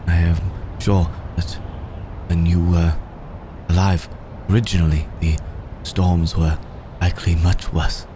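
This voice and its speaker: Man acting as loyal humble manservant, deep low voice, halting and reverential, formal wording, ASMR roleplay drama, noticeable storm noise behind. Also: flat delivery. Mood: subdued, reverent. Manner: halting, deferential, formal phrasing. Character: humble, devoted male servant of an exalted undead ruler. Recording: clear background storm ambience noise.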